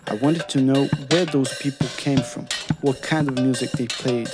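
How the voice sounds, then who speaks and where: A man narrates a documentary in a measured, earnest voice-over. His pitch sits in an ordinary middle range. Loud music plays underneath him throughout. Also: fairly even intonation. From Brazil. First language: Portuguese.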